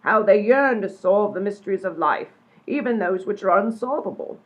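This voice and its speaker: Woman playing a husky, grandiose mystic seer. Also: medium pitch, lively intonation, normal speed, lightly acted. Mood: grandiose, solemn. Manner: theatrical, portentous pronouncements. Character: grandiose mystical female psychic, solemn and pompous. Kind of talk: comic radio play acting. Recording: dull, lo-fi sound.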